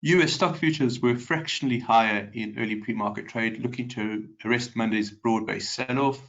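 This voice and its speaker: A man with a nasal, slightly congested voice hosting a live market webinar, chatting with viewers by name and filling pauses with ums. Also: mid-range voice, a bit bright, highs cut off. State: congested from a cold.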